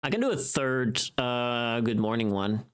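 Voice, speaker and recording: A woman with a deep voice chatting on a livestream. Her delivery is unhurried but very animated in pitch, with playful teasing and loose, informal phrasing as she thinks out loud. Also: clear, resonant tone. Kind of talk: casual livestream chat.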